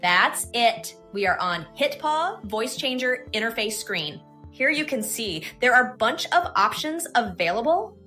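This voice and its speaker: Bright, lively high-voiced woman narrating a tutorial. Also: a little slow, soft background music. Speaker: software tutorial presenter.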